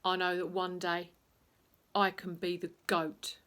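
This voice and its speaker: Lady doing a big hefty male pe teacher in a kids story, hurt but defiant, loud and emphatic, takes his time. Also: lowish voice, flat delivery, a little slow, clean tone. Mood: hurt, dreamy.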